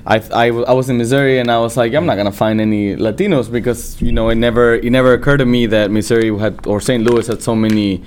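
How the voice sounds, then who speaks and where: A man's voice: mid-range voice, flat delivery, fast, hardly any pauses, slight background noise. A man from El Salvador, interviewing a guest in an informal, conversational way. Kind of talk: casual interview.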